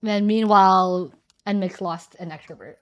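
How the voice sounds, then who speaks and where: A woman's voice: medium pitch, fairly even intonation, moderate pace, clear, resonant tone, muffled recording. A woman chatting casually on a live stream and reading out chat messages, her voice worn out and fading. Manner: chatty, reads chat aloud. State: losing her voice.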